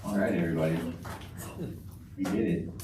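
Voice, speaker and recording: Board chair, man, deep and upbeat; distant mic, noisy, heavily reverberant room. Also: normal speed. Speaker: board president chairing meeting. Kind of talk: chairing a meeting. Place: school board meeting room. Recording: very echoey room from far off, noisy.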